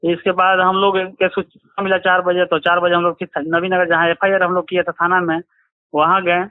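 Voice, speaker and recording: A man with a Bihari accent speaks in a high-pitched voice over a tinny, choppy phone line. He recounts events in a halting, colloquial way, as in a phone interview. The sound is dry and narrow. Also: monotone, clean tone, dry recording. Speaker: interviewee, relative of a crime victim. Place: phone call.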